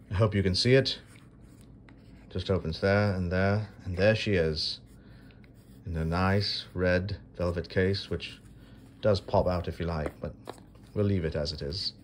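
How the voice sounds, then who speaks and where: Low-voiced dry man narrating a coin unboxing. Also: a little hiss or hum. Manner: dry self-deprecating, unhurried with pauses. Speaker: coin video host. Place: indoors at a marble tabletop. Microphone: phone camera held over a table.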